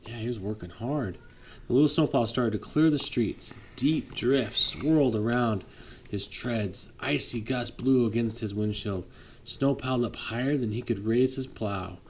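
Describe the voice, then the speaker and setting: A man reading a picture book aloud to his child at bedtime, lively, pausing to chat with the child. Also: mid-range voice, a little hiss or hum. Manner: lively read-aloud, voices for the trucks, chats with the child. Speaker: father reading a bedtime story.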